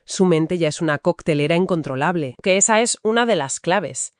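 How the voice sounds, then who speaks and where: A woman's voice: fairly low pitch, animated, moderate pace, dry recording, clean studio sound. A woman co-hosting a book discussion, giving emphatic, conversational literary commentary.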